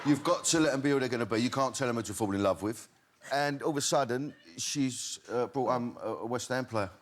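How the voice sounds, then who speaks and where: A man's voice: medium pitch, brisk, slight background noise. An older man, a talk show guest who chats bluntly and jokingly and swears freely. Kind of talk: casual chat.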